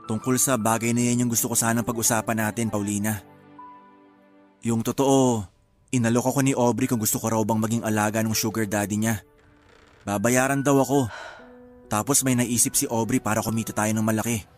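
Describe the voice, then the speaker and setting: A man's voice: lowish voice, fairly even intonation, fairly quick, pauses often, darkish tone, faint music behind, close to an everyday voice. An actor playing a desperate, shameless husband and father in a Filipino radio drama, pleading and persuasive. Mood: hesitant, coaxing.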